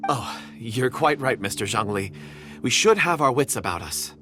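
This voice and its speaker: A man playing a modest, earnest young man in a video game, speaking politely and gently. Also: mid-range voice, animated, moderate pace, a little hiss or hum. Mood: modest, respectful.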